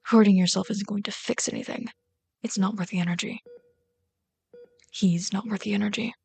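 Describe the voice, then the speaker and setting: A woman's voice: medium pitch, fairly even intonation, normal speed, vocal fry, slightly rough. A woman playing a bitter but caring villain queen in an ASMR roleplay, scolding and sardonic, often exasperated. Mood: stern, urgent. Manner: scolding, sardonic, exasperated, dropping to hushed asides. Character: bitter, caring female villain rat queen tending a captive sidekick. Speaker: voice actor in an audio roleplay.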